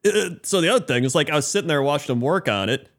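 A man's voice: on the high side, expressive, racing, a bit bright. A man co-hosting a podcast in casual chat, telling a laid-back story and mimicking people. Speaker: podcast co-host.

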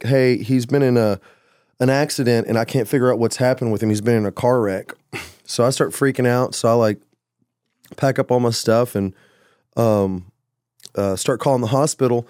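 A man's voice: medium pitch, darkish tone, clear, resonant tone, no echo, clean studio sound. A man talking about his songwriting in a casual, rambling way, with lots of "like" and "you know.". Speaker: musician guest. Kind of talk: casual conversation.

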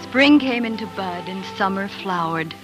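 An actress playing a tender, weary young pioneer wife in earnest, emotional 1940s radio drama style. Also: female voice, medium pitch, expressive, unhurried, natural voice. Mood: wistful narration. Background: music.

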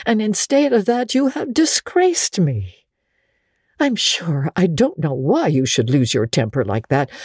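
A woman's voice: sits low, a little mellow, husky, no room sound, studio quality, exaggerated voice. A woman voicing a stern, upright middle-aged countrywoman who reproves firmly in a dramatic storybook reading. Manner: scolding, severe, firm.

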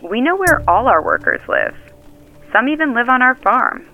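A woman's voice: fairly high pitch, huge pitch swings, normal speed, creaky, soft background music, full character voice. An actress playing a brash, wry, tough young farm woman in an audio drama, blunt and teasing over a phone-call filter. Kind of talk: scripted audio drama, naturalistic phone dialogue. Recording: band-limited phone line effect.

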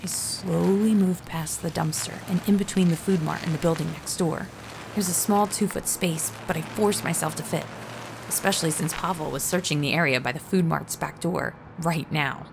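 A woman's voice: deep voice, steady tone, moderate pace, gravelly, noisy background. An actress playing a frightened ordinary woman in an audio drama, narrating and speaking in a tense, hesitant way. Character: anxious ordinary woman, a frightened witness narrating her ordeal. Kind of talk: first-person audio drama narration and dialogue.

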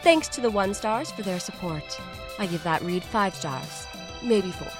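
A woman's voice: mid-range voice, animated, measured pace, over music. A woman presenting a comic scripted podcast promo in a tongue-in-cheek, theatrical way.

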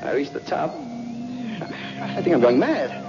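An actor playing a lone cosmonaut losing his mind in terror, halting and stammering, in 1960s radio horror style. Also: very high voice, big pitch swings, heavily acted. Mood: bewildered, frightened. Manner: halting, stammering, repeating himself. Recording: old muffled radio recording, music behind.

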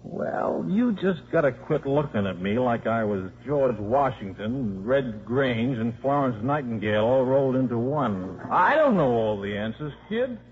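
Devoted dad, mid-pitched, plain-spoken and blunt, hissy old radio drama. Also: male voice, sing-song, a little slow, hardly any pauses. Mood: gentle, resigned. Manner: blunt, colloquial. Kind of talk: 1950s radio acting. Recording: muffled, hissy 1950s radio recording.

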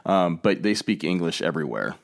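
Host: American man, very low voice, easygoing rambling delivery. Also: very animated, brisk, creaky. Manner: rambling, many restarts and fillers. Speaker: podcast host. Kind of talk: casual travel chat.

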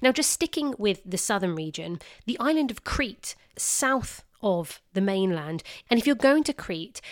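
Woman, podcast host, medium pitch, chatty, informal, enthusiastic delivery. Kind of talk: wine podcast narration and chat.

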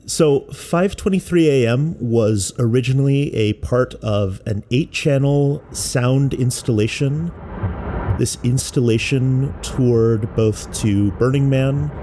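An American man explaining creative work in a measured, reflective narration, as a sound artist presenting their own work. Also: mid-range voice, dark tone, a little hiss or hum. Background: music.

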